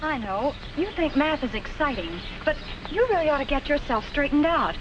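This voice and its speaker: High-pitched pushy college girl, old film. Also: lively intonation, moderate pace. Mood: impatient. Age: young adult. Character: self-centered, pushy, lively young college woman. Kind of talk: 1950s educational film acting. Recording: noisy, muffled old film soundtrack.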